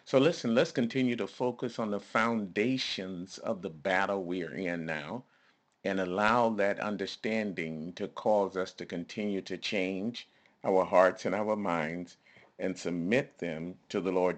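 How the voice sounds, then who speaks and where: A man preaching a devotional sermon, emphatic and deliberate, quoting scripture and putting rhetorical questions to listeners. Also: low-pitched.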